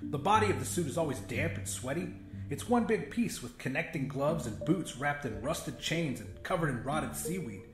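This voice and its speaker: A man with a fairly high voice narrates a first-person horror-comedy story. His storytelling is conversational and sweary. The voice has a roomy echo, and music plays clearly behind it. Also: animated, moderate pace. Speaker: audiobook narrator.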